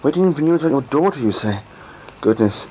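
A kindly, cautious male ally, gentle and hesitant, fussing over dangers ahead, as a man acts him out. Homemade radio play, faint hiss behind. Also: mid-range voice, expressive, brisk, natural voice, rough recording. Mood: concerned.

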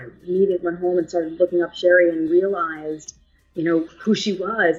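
A woman in her thirties with a heavy New York accent, an actress chatting in an interview, full of restarts and quick laughs. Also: lowish voice, slightly rough. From New York, Staten Island. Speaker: actress, guest. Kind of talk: casual interview chat. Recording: lo-fi dull sound.

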